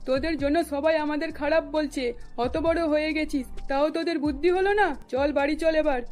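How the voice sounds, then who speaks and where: A woman's voice: high voice, moderate pace, darkish tone, faint music behind, natural voice. A woman voicing a nagging, reproachful mother of twin daughters in a cartoon story. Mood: scolding. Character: scolding, easily exasperated mother of twin daughters.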